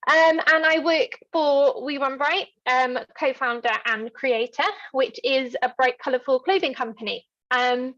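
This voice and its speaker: Bright, high-voiced woman. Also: fairly even intonation, measured pace, hardly any pauses, dull audio. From London, England. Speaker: interview guest, ultra runner. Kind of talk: casual interview chat.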